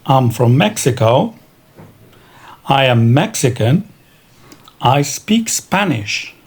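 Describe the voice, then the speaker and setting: A man teaching English vocabulary, reading country and nationality words in a slow, deliberate drill. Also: medium pitch, sing-song. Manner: slow, deliberate, spells out each word. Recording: clear background noise.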